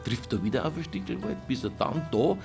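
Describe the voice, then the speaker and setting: An actor playing an earnest, matter-of-fact local official with a Bavarian accent, explaining things in naturalistic drama dialogue. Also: male voice, medium pitch, sing-song, fairly quick, gravelly, over music. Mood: explaining, concerned.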